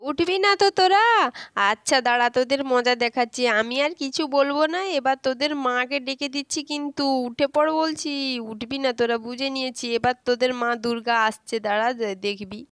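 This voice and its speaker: A woman's voice: a little high, steady tone, fairly quick, fairly bright tone, clean tone, no echo, studio quality, close to an everyday voice. A woman voicing a nagging, insistent auntie in a children's story. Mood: insistent scolding. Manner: insistent, scolding. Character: nagging, loud neighbourhood auntie waking sleeping children.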